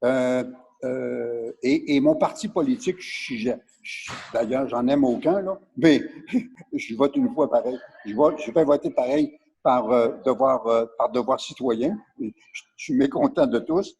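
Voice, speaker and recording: A man with a mellow, husky voice and a Quebec French accent speaks as a guest on a discussion podcast. He is emphatic and insistent, haltingly repeating words as he states his opinions. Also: mid-range voice, poor audio.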